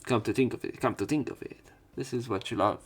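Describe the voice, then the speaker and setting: A man's voice: medium pitch, fast, husky. A man reading a visual novel aloud as a let's play commentator, with casual, offhand remarks.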